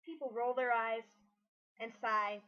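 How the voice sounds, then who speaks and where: High bright woman, blunt critic. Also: a little slow. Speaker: guest commentator, critic. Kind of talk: casual critical commentary. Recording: dull lo-fi home recording.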